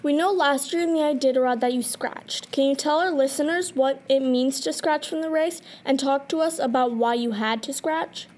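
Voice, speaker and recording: Woman interviewer, high voice, polite, reading questions off a script. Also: normal speed, few pauses, darkish tone.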